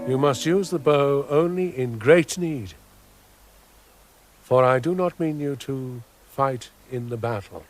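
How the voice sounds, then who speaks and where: Man acting a stately, slow-spoken old Father Christmas. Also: medium pitch, faint music behind, close to an everyday voice. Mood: solemn kindness. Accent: British. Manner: stately, solemn, declamatory. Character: grand, benevolent, solemn old Father Christmas. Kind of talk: dramatic radio play acting.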